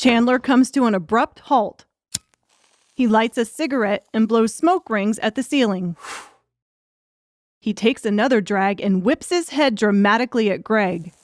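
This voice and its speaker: A woman's voice: on the high side, unhurried. A woman narrating a novel as a scripted audiobook.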